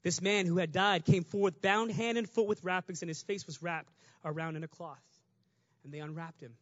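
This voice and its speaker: High-voiced man preaching a sermon. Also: steady tone, no room sound, highs cut off. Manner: conversational, fast, builds to shouting.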